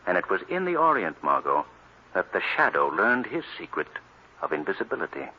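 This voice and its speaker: A man's voice: low-pitched, very animated, brisk. An actor playing an earnest, resolute male crime fighter in a 1940s-style radio drama, with an emphatic, dramatic delivery. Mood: serious, thoughtful. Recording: noisy, dull old radio recording.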